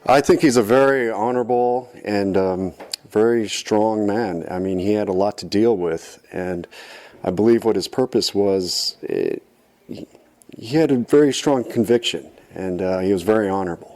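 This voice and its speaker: Earnest man with a lowish voice. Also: normal speed, a little mellow. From grew up in Tokyo, Japan. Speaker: stage actor, interviewee. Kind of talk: casual interview answers.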